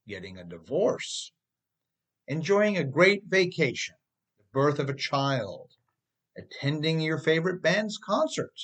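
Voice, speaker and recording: A man reading his own self-help book aloud as scripted narration, in an instructive manner that speaks directly to the listener. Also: fairly high pitch.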